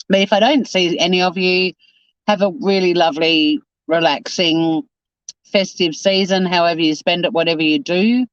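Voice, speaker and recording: A man's voice: on the high side, a bit bright, clear, resonant tone, dry recording, highs cut off. A man in his fifties chatting casually on a live stream, reading out and answering viewer comments. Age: 50s. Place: home.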